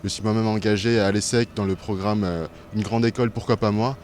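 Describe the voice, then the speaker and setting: A man's voice: lowish voice, steady tone, brisk, darkish tone. A man in his twenties, a student answering interview questions, hesitant with many fillers. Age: 20s.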